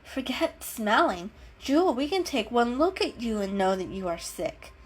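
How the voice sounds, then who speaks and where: Lady doing a doubtful but kind storybook girl cat, emphatic, high voice that moves around a lot. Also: lively intonation, moderate pace, a little hiss or hum, lightly acted, poor audio. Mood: pleading. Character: skeptical, exasperated but kindly female cat sidekick.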